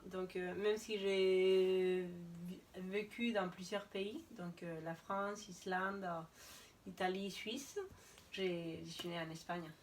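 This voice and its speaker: A woman's voice: fairly low pitch, steady tone, slow pace, far from the mic, slight background noise. A woman in her thirties from Spain, a guest in an interview, chatting casually with frequent hesitation fillers. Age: 30s. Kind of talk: casual conversation.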